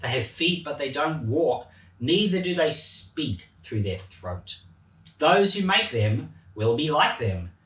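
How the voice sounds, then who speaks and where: Male pastor, casual and animated Bible teacher, bright voice, recorded at a distance in a roomy space. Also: fairly high pitch, slow pace. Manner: animated, chatty, laughs at his own points. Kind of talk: Bible reading and informal teaching. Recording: roomy echo, mic at a distance.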